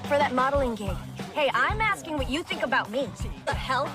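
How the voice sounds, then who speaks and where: High, brash, pushy young woman. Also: lively intonation, a little fast, music in the background. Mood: indignant, demanding.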